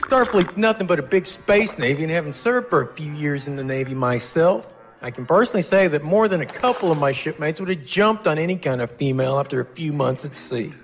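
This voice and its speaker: A man playing a brash, geeky Navy veteran and sci-fi fan in casual, excitable comedy banter. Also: fairly high pitch, brisk, faint music behind. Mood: joking. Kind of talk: scripted comedy dialogue.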